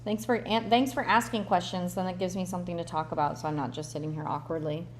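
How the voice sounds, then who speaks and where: A woman's voice: fairly low pitch, steady tone, quick pace, noisy background. Chatty woman hosting a live Q&A. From born in Russia, grew up in the United States. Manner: chatty, loose, thinks aloud with fillers and restarts. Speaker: relocation consultant hosting a livestream Q&A. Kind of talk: casual live Q&A, reading comments aloud.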